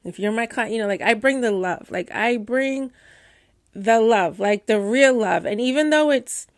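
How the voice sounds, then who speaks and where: A middle-aged woman doula who stutters, giving candid, warm advice to new doulas in a casual talk with playful asides. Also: a little high, normal speed, dry recording, studio quality. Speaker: doula and course teacher.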